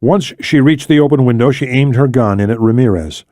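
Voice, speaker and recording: A man's voice: medium pitch, a little fast, warm tone, no room sound, clean studio sound. A man reading scripted thriller narration as an audiobook narrator.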